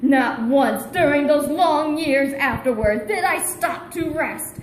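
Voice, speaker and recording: A woman's voice: sits high, takes it slowly, a little hiss or hum. Woman doing a grandiose hamster villain. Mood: gloating. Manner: theatrical gloating monologue. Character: vengeful male pet hamster villain, grandiose. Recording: roomy echo.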